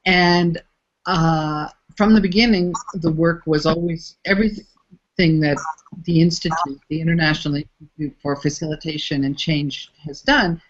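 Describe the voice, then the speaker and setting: A woman's voice: low voice, fairly even intonation, normal speed, highs cut off. A woman from the United States, a facilitator being interviewed, speaking thoughtfully and searching for words as she goes. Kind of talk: reflective interview talk.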